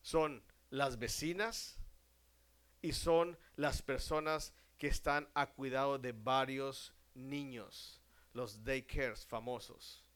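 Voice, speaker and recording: Male preacher, sermon, slow measured delivery, smooth clear voice, rhetorical questions, trailing off softly. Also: medium pitch, steady tone. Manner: asks the audience questions and answers them himself; slow and deliberate, drops to a hush at ends of phrases. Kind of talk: sermon to a congregation.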